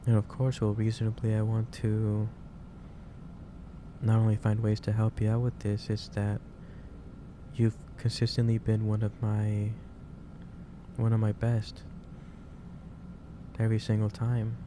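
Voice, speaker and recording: A man playing a gentle, halting, monotone boss. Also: lowish voice, background noise, close to an everyday voice. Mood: caring, reassuring. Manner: hesitant, halting, repeats phrases. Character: gentle, caring male office boss, worried and lecturing. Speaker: roleplay performer. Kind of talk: ASMR roleplay, soft-spoken monologue.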